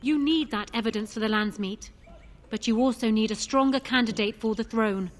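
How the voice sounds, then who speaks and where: A woman's voice: fairly high pitch, normal speed, natural voice. A woman playing a proud, shrewd young widowed queen in a fantasy video game, speaking in a formal, commanding manner. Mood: measured, persuasive.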